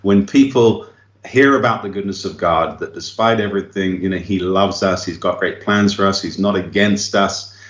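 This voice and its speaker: A man's voice: low voice, normal speed. An English missionary pastor being interviewed remotely, speaking earnestly and reflectively, often chuckling as he talks. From England. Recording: roomy, muffled remote line.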